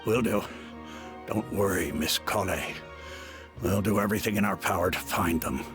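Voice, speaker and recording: A male actor plays a dutiful official in a video game, formal and reassuring. The voice sits low, and music plays clearly behind it. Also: normal speed, lightly acted.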